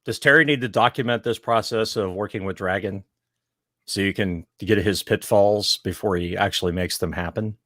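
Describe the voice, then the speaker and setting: Low-voiced teasing Southern man. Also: moderate pace, no room sound, clean studio sound. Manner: animated, teasing, swears freely, laughs loudly. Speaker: podcast co-host. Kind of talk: casual chat.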